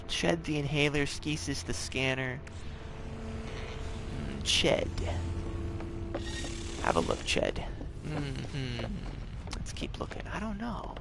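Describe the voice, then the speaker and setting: Chatty, gravelly-voiced man streaming a game. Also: on the high side, lively intonation, extremely slow, long pauses, background noise. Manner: chatty, rambling, trips over words. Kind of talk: casual gameplay commentary. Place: home, gaming on a TV.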